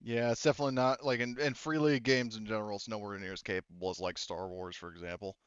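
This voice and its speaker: A man's voice: on the low side, fairly even intonation, fast, clean tone, no echo, dull audio. A man running a tabletop game in casual talk, narrating and explaining rules, often rephrasing as he goes. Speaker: game master.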